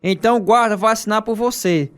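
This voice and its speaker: Harsh high-pitched prison commandant. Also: male voice, fairly quick, fairly bright tone. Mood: threatening. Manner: curt, commanding. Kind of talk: radio drama acting.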